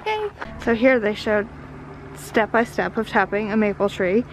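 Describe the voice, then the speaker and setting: A woman's voice: mid-range voice, sing-song, measured pace. A woman in her twenties giving casual, enthusiastic travel vlog narration full of exclamations. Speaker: vlogger. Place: farm sugarhouse and outdoors. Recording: noisy on-location camera audio.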